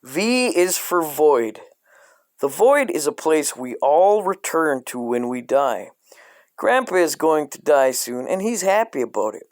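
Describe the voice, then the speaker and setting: A man reading a dark comic coloring book aloud in a deadpan way, breaking off into profane asides. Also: fairly high pitch, measured pace, no echo.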